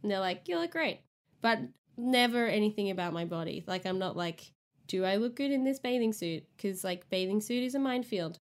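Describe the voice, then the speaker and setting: A woman's voice: mid-range voice, expressive, fairly quick. A woman with a mild Australian accent co-hosting a podcast, chatting casually with lots of laughs and hesitant fillers. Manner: giggly, hesitant, many fillers. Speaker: podcast co-host.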